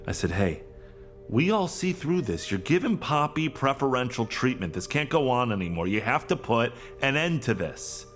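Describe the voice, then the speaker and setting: A man's voice: mid-range voice, sing-song, moderate pace, a bit bright, soft background music. Anxious, guilt-ridden office man. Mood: tense, regretful. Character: anxious, guilt-ridden male HR professional in crisis. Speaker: actor in a scripted podcast. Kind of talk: naturalistic audio drama acting.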